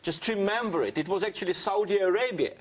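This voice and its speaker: Male pundit, high register, sardonic and opinionated, made for heated political discussion. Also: fast talker, slight background noise. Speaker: panel guest, political commentator. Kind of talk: opinionated debate.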